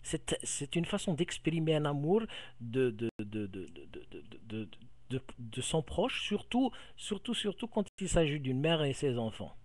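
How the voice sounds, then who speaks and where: A middle-aged man from Algeria's Kabyle region with a Kabyle accent, explaining things to a viewer into a camera mic, halting and repeating words as he searches for them. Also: a little high, big pitch swings, a little mellow, dry recording. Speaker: vlogger. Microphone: camera microphone.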